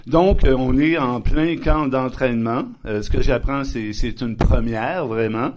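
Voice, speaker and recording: A man interviewing two soccer coaches, asking polite and measured questions. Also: medium pitch, background noise. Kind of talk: radio interview.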